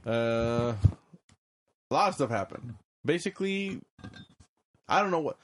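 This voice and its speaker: A man's voice: mid-range voice, expressive, slow, slight background noise. Joking guy on a podcast, laughs a lot, loose and casual. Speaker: podcast co-host. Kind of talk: casual chat.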